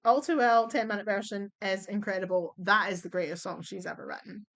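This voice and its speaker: Young woman going hoarse, blunt chatty song-ranking vlog, swears and laughs a lot. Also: medium pitch, fairly quick, a bit bright. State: losing her voice. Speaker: vlogger ranking songs. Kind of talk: casual chat. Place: at home at a computer.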